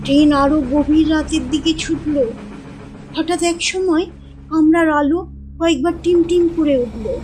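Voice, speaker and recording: A woman narrating a scripted horror story in a dramatic, measured storytelling manner. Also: high voice, darkish tone.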